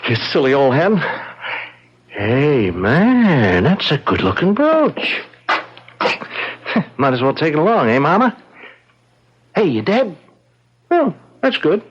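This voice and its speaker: An actor playing a sadistic, taunting killer and thief in a radio drama, with a husky voice. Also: male voice, fairly high pitch, huge pitch swings, slow pace, long pauses, heavily acted. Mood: gloating, taunting. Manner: taunting, callous. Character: sneering, sadistic young male killer and thief. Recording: dull old radio recording.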